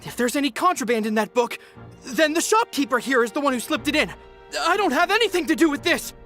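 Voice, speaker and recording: A woman's voice: sits high, lively intonation, fast, a bit bright, soft background music. A woman playing a nervous, evasive customer in a video game, flustered, stammering and pleading. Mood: panicked, pleading. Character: nervous, evasive female customer caught with contraband. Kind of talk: video game character dialogue.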